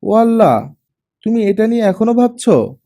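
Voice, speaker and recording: A man's voice: high voice, big pitch swings, normal speed, dark tone, voice pushed up. A man voicing a playful, curious young daughter who teases her father in an audiobook drama. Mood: surprised, teasing.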